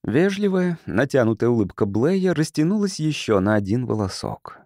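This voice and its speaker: A man reading a literary story as an audiobook narrator in a measured, expressive storytelling manner. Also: mid-range voice, dry recording, studio quality.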